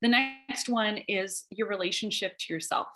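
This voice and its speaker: A woman's voice: mid-range voice, normal speed, bright, forceful tone. A woman who is a guest on a talk show, speaking thoughtfully and working through her points in an orderly way. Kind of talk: casual conversational interview.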